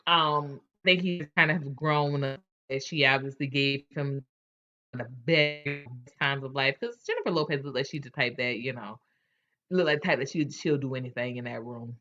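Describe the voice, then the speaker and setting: A woman's voice: very deep voice, moderate pace, pushed and bright, muffled recording. A woman hosting a casual chat, candid and chatty, often restarting her sentences. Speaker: host.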